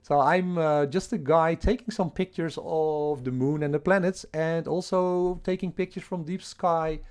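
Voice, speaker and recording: A man with a Dutch accent talks loosely to his livestream chat from home, restarting sentences and chuckling as he goes. His voice sits a little on the high side for a man. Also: fairly even intonation, unhurried, darkish tone, smooth. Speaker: livestream host.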